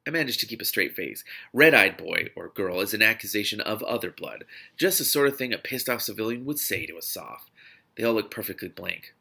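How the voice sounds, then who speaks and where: Man, expressive story reading, slightly deep, gravelly edge, dramatic delivery. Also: a little fast. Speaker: reader and host of a read-aloud show. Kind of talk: expressive audiobook-style reading with spontaneous reactions.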